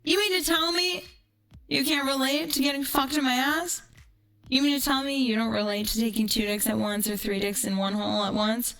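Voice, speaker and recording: A woman's voice: high-pitched, steady tone, normal speed, a bit bright, poor audio. A woman streamer chatting casually and ranting in a brash, profane, sarcastic way. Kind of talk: casual livestream chat with ranting storytelling.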